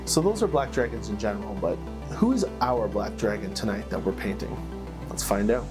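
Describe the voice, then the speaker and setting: A man hosting as a game master, narrating fantasy lore and story like a measured storyteller with dramatic pauses. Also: on the low side, lively intonation. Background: plucked guitar music.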